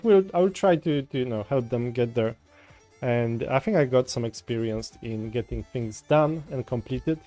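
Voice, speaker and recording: Rambling Polish man with a mellow voice. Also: mid-range voice. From Poland. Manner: rambling, frequent restarts, chatty with viewers. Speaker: coding livestreamer. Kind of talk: casual livestream chat while coding. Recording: faint music in background. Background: soft folk music.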